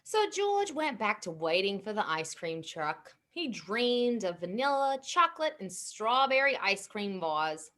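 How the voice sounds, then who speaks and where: A woman reading a children's bedtime story aloud in an expressive way. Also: mid-range voice, measured pace, hardly any pauses. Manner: expressive, animated delivery.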